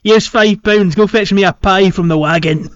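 A man's voice: sits high, moderate pace, clear, resonant tone. A British man co-hosting a podcast, chatting casually about games in a loose, rambling way. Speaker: podcast host. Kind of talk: casual game discussion and chat. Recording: slightly dull, lo-fi audio.

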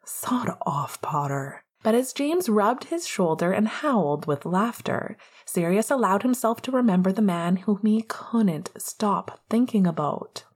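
A woman's voice: medium pitch, lively intonation, a little slow, few pauses, darkish tone, husky. A woman reading fiction aloud as scripted narration, in the manner of an audiobook reader.

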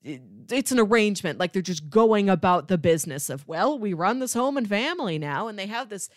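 A woman's voice: medium pitch, lively intonation, a little fast, dry recording, clean studio sound. A woman co-hosting a film podcast, talking casually and thoughtfully, often peppering her sentences with "like". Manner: thoughtful, conversational, frequent "like" filler.

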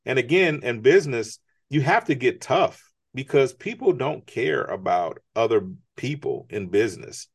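A man's voice: mid-range voice, expressive, moderate pace, few pauses, breathy edge. A man speaking as an interview guest, earnest and motivational, often rising in emphasis. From United States. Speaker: guest, business strategist. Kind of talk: conversational interview.

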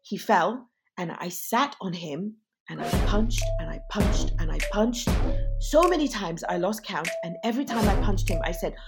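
Defiant woman over loud music. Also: mid-range voice, animated, moderate pace. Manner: emphatic, defiant, swears freely. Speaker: interviewee. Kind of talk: storytelling. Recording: loud music bed under voice.

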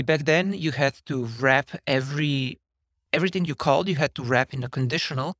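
A man's voice: mid-range voice, a bit bright, dry recording. Rambling man from Slovenia, dry close mic. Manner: rambling, self-deprecating, digressive. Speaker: podcast guest, software engineer. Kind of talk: casual podcast conversation.

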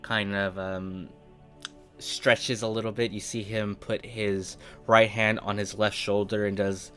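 A man narrating a tabletop game as game master, improvising descriptions in measured, halting phrases. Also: fairly low pitch, little pitch movement, fairly bright tone. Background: faint background music.

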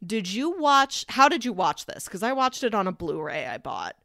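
A woman's voice: mid-range voice, sing-song, normal speed, creaky, bright, forceful tone, no echo, clean studio sound. A woman co-hosting a podcast, chatting casually about films and peppering her explanations with "like". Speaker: podcast co-host. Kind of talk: casual film discussion chat.

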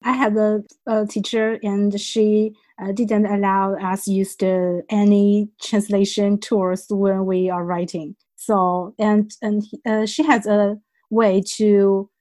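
A woman's voice: medium pitch, little pitch movement, slow pace, a little mellow, no room sound. A woman international student guest telling things plainly and matter-of-factly, with small restarts. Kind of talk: casual discussion.

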